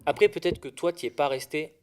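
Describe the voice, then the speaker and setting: A man's voice: on the high side, a little fast, darkish tone, smooth. A young man from rural France hosting a podcast, asking loose and hesitant questions. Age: young adult. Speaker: podcast host. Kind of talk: casual interview.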